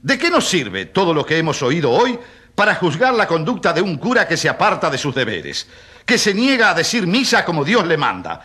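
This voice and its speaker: A man's voice: fairly high pitch, sharp tone, heavily acted. A man playing an indignant, pompous prosecutor in a radio courtroom drama, oratorical and sarcastic, with emphatic rhetorical questions. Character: indignant, pompous male prosecutor in a church trial.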